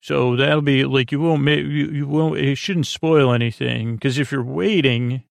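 A man hosts a bedtime podcast in a middling-pitched voice full of creak. He meanders, halts and repeats himself in a whimsical, self-deprecating way. The sound is dry, with no room around it. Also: unhurried, no room sound. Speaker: podcast host. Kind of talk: rambling, unscripted bedtime podcast monologue.

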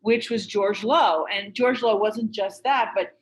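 A woman's voice: mid-range voice, a little slow. A woman hosting an interview podcast, enthusiastic, with long leading questions. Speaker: podcast host.

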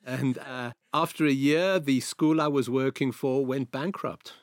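A man in his sixties from South Africa with a South African accent, telling his career story as a guest in an interview, in a measured, anecdotal way. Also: mid-range voice, no echo, studio quality. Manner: measured, anecdotal, repeats phrases. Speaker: guest, veteran journalist.

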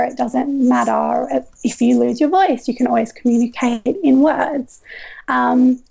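Young Australian woman, high-pitched with heavy vocal fry and a raspy voice, rambling guest talk full of ums. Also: a little mellow, rough recording. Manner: rambling, many ums and likes. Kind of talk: casual interview conversation.

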